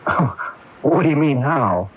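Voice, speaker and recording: An actor playing a nervous, evasive and resentful younger brother in a radio mystery drama, with a husky voice. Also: male voice, fairly high pitch, lively intonation, unhurried. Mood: defensive. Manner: evasive, defensive. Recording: tinny, band-limited AM radio broadcast recording.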